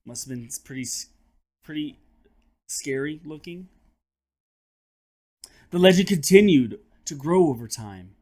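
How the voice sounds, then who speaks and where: A man hosting a children's read-aloud, telling the story in a dramatic, expressive way with chatty asides. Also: a little high, measured pace, pauses often. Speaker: host and teacher reading aloud to children.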